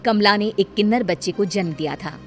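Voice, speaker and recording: A woman storyteller reading scripted narration for an animated tale. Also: mid-range voice, brisk. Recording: music behind the voice.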